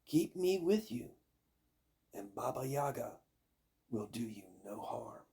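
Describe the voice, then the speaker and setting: An older man voicing a small, kindly magical doll in a storybook, soothing and measured. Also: medium pitch, big pitch swings, creaky, mellow, slightly rough, exaggerated voice. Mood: reassuring.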